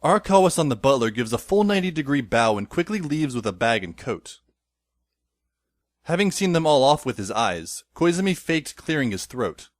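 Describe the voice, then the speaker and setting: A man's voice: mid-range voice, very animated, moderate pace, no room sound, lightly acted. A man playing a wry teenage schoolboy who narrates an audio drama in a dry, descriptive way.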